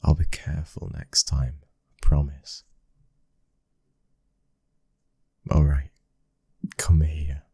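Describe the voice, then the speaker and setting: A man acting as a dominant, devoted mafia boss's son with a British accent. His voice is extremely low and husky, kept to a narrow, restrained melody. He speaks intimately, as in an ASMR boyfriend roleplay. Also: level tone, unhurried, warm tone, no echo, exaggerated voice. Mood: teasing, tender. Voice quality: breathy, husky. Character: smooth-talking, loving, dominant young mafia boss's son. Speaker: audio roleplay voice actor. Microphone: close microphone.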